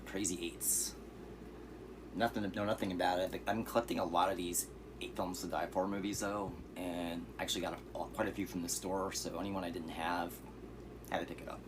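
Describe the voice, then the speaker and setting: A hoarse man showing off his thrift-store movie finds in a relaxed, rambling way, with fillers and asides. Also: low voice, normal speed, noisy background. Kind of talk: casual vlog.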